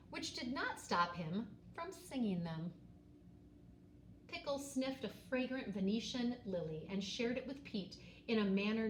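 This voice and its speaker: A woman reads a children's picture book aloud in an expressive, dramatic way, pausing and stressing key words. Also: medium pitch. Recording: roomy echo, faint background noise.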